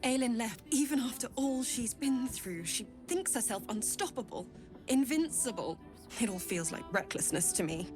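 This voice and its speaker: A woman's voice: fairly high pitch, expressive, normal speed, music in the background, natural voice. A woman playing a caring, worried partner of a warrior in a fantasy video game, speaking earnestly and plainly.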